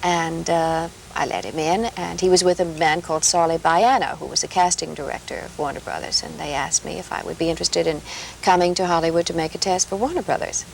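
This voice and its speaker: Woman from minnesota telling stories on an old 70s tv show, lowish voice with some fry. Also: fairly even intonation, fairly quick, rough recording. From Minnesota, USA. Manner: gracious, anecdotal storyteller. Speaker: interview guest. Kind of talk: relaxed talk show interview. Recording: 1970s television broadcast, light hiss.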